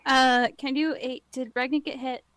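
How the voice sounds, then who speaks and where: A woman playing a tabletop role-playing game, hesitant and giggly, often pausing with "like" as she asks about her options. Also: sits high, little pitch movement, fairly bright tone, clean tone. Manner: giggly, hesitant, lots of likes. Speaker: player. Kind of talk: casual tabletop game play.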